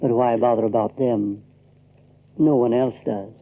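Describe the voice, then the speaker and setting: A man's voice: medium pitch, fairly even intonation, a little slow, phone-quality sound, heavy background noise. A man narrating a scripted drama in a theatrical storytelling style, full of rhetorical questions and repetitions.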